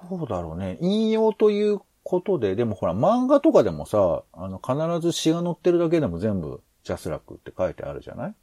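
A man's voice: medium pitch, huge pitch swings, fast, few pauses, dark tone, no room sound. A man co-hosting a podcast in casual planning discussion, hesitant and rambling as he thinks aloud. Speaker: podcast co-host.

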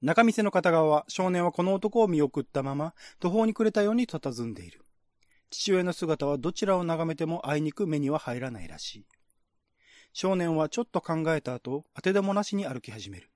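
A man's voice: fairly high pitch, expressive, normal speed, no room sound, clean studio sound. A man reading scripted narration in a radio-drama style, as the narrator of a screenplay.

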